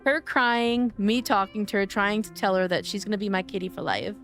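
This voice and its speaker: A woman livestreaming a game, chatting playfully with her viewers, her voice a bit hoarse from days of streaming. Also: medium pitch, a little fast, soft background music. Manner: playful, chatty, greeting chat by name. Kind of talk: casual game-stream chat.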